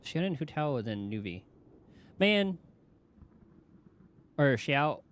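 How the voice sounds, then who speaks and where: A man's voice: a little high, sing-song, slow pace, a little hiss or hum. A man livestreaming a game with casual, off-the-cuff, rambling commentary. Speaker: game streamer. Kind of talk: casual live game commentary, reading dialogue aloud and chatting. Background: game music.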